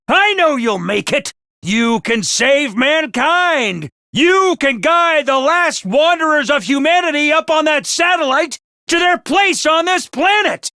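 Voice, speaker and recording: An actor playing a theatrical male academy commander who swings from booming cheer to menace, in a game. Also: bright, forceful tone, no room sound, studio quality, full character voice. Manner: booming, over-the-top cheer. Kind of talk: video game voice lines.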